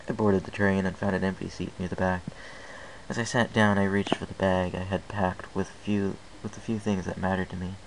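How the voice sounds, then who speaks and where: Male amateur story narrator, lowish and gravelly, reading in first person over faint hiss. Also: rough recording. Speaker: amateur fan fiction reader. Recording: hissy amateur home recording.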